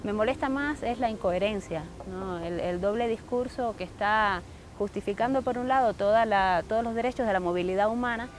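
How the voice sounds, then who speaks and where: A Cuban woman with a Cuban accent speaking in a documentary interview, measured and articulate as she criticizes incoherent policy. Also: medium pitch, a little hiss or hum. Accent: Cuban Spanish. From Cuba. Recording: music bed underneath.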